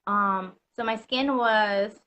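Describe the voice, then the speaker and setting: A woman's voice: medium pitch, steady tone, slow pace, bright, forceful tone, muffled recording, slight background noise. A woman from Puerto Rico chatting as an interview guest, full of ums and likes, laughing easily. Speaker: customer guest. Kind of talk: casual interview chat.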